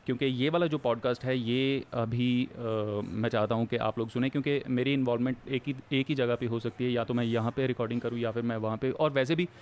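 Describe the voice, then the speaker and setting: A man's voice: medium pitch, steady tone, darkish tone. Joking man co-hosting a podcast. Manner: joking, mixes Hindi with English; explains plainly with filler "uh" pauses. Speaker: podcast co-host. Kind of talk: casual podcast chat.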